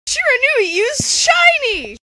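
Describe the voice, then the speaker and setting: A woman's voice: very high-pitched, sing-song, slow, sharp tone, dry recording. A woman co-narrating a fan-made comedy spoof, telling the story in a slangy, mock-dramatic way. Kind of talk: comic storytelling narration of an abridged game parody.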